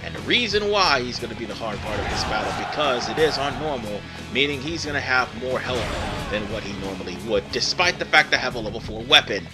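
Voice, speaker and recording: A man's voice: mid-range voice, expressive, brisk, hardly any pauses. Tired rambling man over game music. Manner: rambling, digressive, self-correcting. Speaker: gaming video host. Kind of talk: casual let's play commentary. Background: video game music.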